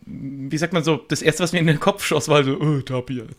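A man's voice: fairly high pitch, fairly quick. A man co-hosting a podcast, chatting casually and slipping into little quoted bits of dialogue. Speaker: podcast co-host and improv performer.

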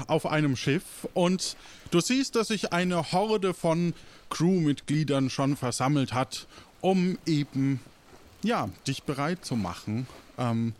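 A man acting as game master, narrating an improvised story informally and haltingly as he invents it. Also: fairly high pitch, slight background noise. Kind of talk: improvised storytelling in second person.

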